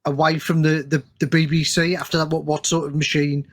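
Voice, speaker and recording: Higher-voiced British man hosting casually. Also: little pitch movement, a little fast. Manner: informal, matey. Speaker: podcast host. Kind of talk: casual interview chat.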